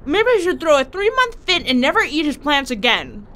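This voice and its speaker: A woman's voice: sits high, sing-song, normal speed, a bit bright. A woman acting in an audio drama as a brash, irritable, foul-mouthed ship medic with a blunt, sarcastic, defensive manner.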